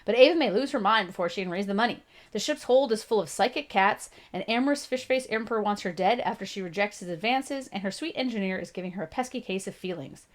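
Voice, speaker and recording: Canadian woman, 30s, book-review host; gravelly, chatty, self-mocking, mid-pitched. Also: fast. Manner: chatty and self-deprecating, with frequent laughs and restarts. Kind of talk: casual spoken book review.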